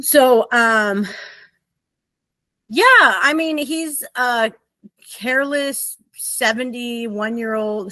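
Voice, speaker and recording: A woman's voice: medium pitch, bright, forceful tone, no echo. Candid, talkative woman in her forties. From Oregon, USA. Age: 40s. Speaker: podcast guest. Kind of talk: candid personal conversation.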